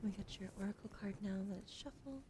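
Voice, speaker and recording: A woman talking low and soft on a live stream, slipping in and out of unvoiced whispers as she shuffles cards and reads them out. Her pitch sits at an ordinary middle height. Clear background noise hums under the whole recording. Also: fast talker. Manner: soft, often whispering, greets chat. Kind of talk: ASMR tarot reading on a live stream.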